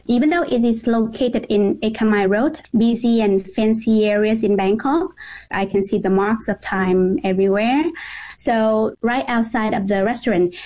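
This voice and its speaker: A woman's voice: on the high side, dark tone, rough recording. A woman from Vietnam with a Vietnamese accent, a journalist speaking conversationally as a radio interview guest.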